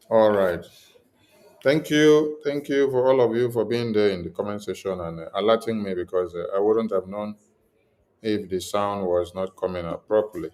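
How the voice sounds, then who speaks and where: A man's voice: on the low side, darkish tone. A man hosting a live-streamed political address, repeatedly asking his viewers for help. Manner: repetitive, pleading requests to viewers. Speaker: livestream host.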